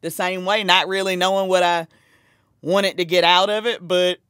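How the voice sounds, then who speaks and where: A woman's voice: fairly low pitch, level tone, normal speed, a bit bright, smooth, dry recording, studio quality. A woman from South Carolina with a Southern accent, hosting a podcast interview in casual, enthusiastic chat, laughing often and restarting her sentences. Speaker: podcast host. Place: small dark studio with couches. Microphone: studio mic on stand.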